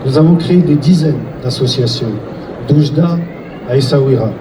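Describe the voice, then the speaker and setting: A man moderating a public event and speaking sincerely and deliberately to the audience. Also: fairly high pitch. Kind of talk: public address. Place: event hall. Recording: echoey room, distant mic, loud noise.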